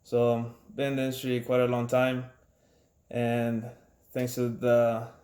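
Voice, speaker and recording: A man in his thirties from Ecuador, a mechanic, introducing himself casually with many pauses and restarts. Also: medium pitch, reverberant. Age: 30s. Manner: relaxed, halting, many fillers and restarts. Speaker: auto mechanic.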